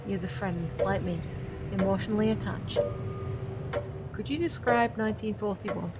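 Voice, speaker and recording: An actress playing a calm, protective Victorian lady witch in an audio drama, polite but firm. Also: medium pitch, moderate pace, close to an everyday voice. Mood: gentle, questioning. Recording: loud music under the voice.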